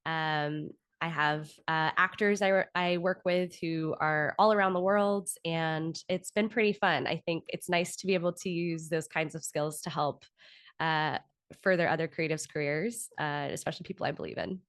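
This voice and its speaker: A woman's voice: low-pitched, fairly even intonation, normal speed, a bit bright, dry recording, studio quality. A woman in her thirties with an American accent, giving conversational answers as an interview guest. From Florida, United States. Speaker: podcast guest, author and actor.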